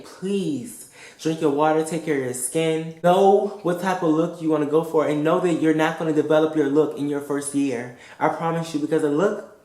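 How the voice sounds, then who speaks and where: A woman's voice: low voice, darkish tone. A woman giving frank, emphatic advice straight to her viewers, swearing freely and repeating her pleas for emphasis. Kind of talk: casual direct-to-camera advice vlog. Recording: echoey room.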